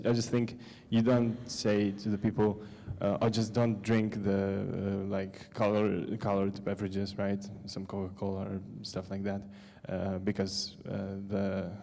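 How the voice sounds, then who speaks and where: A man with a Polish accent asking a question from the audience, haltingly searching for words in a reverberant hall. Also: on the low side, gravelly, mellow. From Poland. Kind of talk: hesitant spoken question. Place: large conference hall. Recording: long hall reverb from conference sound system.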